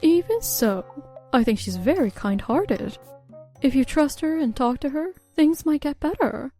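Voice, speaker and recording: A high yet mellow female voice acted by a woman plays a gentle mother advising her grown daughter. She is soothing, reasonable and encouraging. It is a manga dub with light music behind. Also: animated, unhurried.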